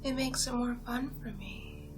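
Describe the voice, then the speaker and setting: A woman playing an ancient, seductive female vampire in a whispered ASMR roleplay, taunting her prey in a breathy, theatrical way with drawn-out pauses. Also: fairly high pitch, close to an everyday voice. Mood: playful menace. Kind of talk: ASMR roleplay monologue, soft-spoken and whispered. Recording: music behind the voice. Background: music.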